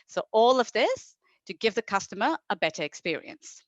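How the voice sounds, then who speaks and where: A woman's voice: fairly high pitch, big pitch swings, normal speed, no room sound. Female presenter from India, middle-aged, informal and keen conference delivery, close dry sound, slightly lo-fi. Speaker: marketing executive, guest presenter. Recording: dull, lo-fi online presentation audio.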